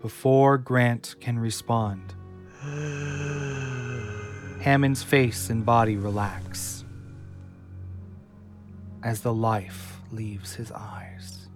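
A man reading scripted narration for an audio drama in a measured, dramatic way. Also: fairly low pitch, steady tone, over music.

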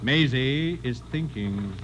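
An actor playing a brusque, self-important head of a scientific foundation in a 1950s radio drama, clipped and commanding. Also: male voice, medium pitch, lively intonation, slow. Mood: curt, superior. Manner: clipped, commanding, formal dictation. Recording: dull, humming vintage radio broadcast recording.

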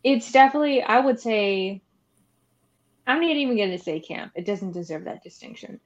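The audio is a little dull and lo-fi. A woman with a mid-pitched voice co-hosts a casual podcast, talking in an informal, chatty way and tossing in wry, opinionated asides. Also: animated, moderate pace. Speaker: podcast co-host. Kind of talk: casual chat about horror films.

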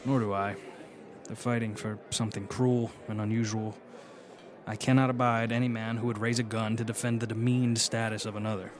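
A man's voice: on the low side, little pitch movement, a little fast, close to an everyday voice. A man playing a wry, genial and watchful adventurer in a radio drama, speaking articulately. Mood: grave.

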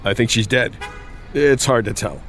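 A man's voice: mid-range voice, normal speed, gravelly, a bit bright, faint music behind. A man playing an indignant sales executive with a conscience in a campy audio drama. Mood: grim, dismayed.

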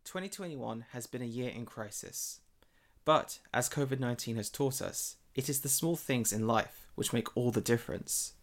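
A man's voice: medium pitch, normal speed. A man reading an opinion article aloud as scripted narration. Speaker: article reader.

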